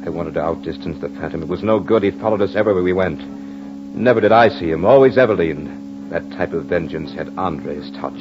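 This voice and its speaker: An actor playing a tormented, devoted husband in an old-time radio horror drama, with melodramatic, theatrical delivery. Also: male voice, deep voice, fairly quick, lightly acted. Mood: haunted, dramatic. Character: tormented, devoted husband haunted by a vengeful phantom. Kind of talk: old-time radio horror acting, first-person narration. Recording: dull vintage radio recording with music.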